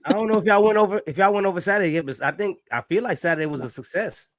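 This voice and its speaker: A man's voice: high-pitched, rapid-fire, no echo. A man from the Caribbean co-hosting a podcast in casual chat, easygoing and a bit tired, often stammering. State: tired after work. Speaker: podcast co-host.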